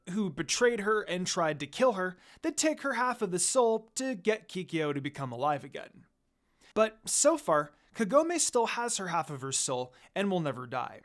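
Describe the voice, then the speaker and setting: A narrator reading a fan fiction story aloud in an expressive amateur storytelling style. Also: mid-range voice.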